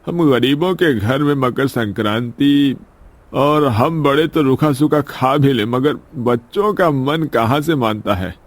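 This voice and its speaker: A man's voice: medium pitch, a little slow, dry recording, natural voice. A man voicing a humble, weary, poor old father in a story cartoon, speaking deferentially and pleadingly. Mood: resigned. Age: older. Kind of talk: Hindi moral-story cartoon dubbing.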